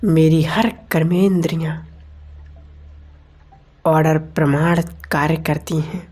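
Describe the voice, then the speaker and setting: A woman leading a guided meditation in deliberate phrases with pauses between them. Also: sits low, steady tone, a little mellow, slightly rough. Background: meditation music.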